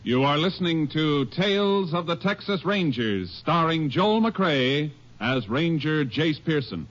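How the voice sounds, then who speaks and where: A man's voice: a little high, unhurried, faint music behind. Old radio announcer guy, bouncy formal voice, kinda muffled. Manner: formal, lively delivery. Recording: dull old radio recording.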